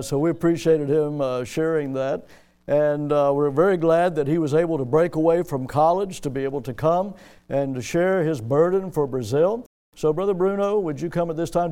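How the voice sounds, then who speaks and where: A male pastor with a mellow, smooth voice pitched a little high introduces a guest at a church service. His delivery is informal and folksy. He moves along haltingly, phrase by phrase.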